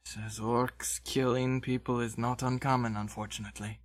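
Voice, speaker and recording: A man voicing an earnest, centuries-old male elf scholar in a tabletop game, speaking in a measured, formal, halting way. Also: mid-range voice, level tone, close to an everyday voice. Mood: guarded.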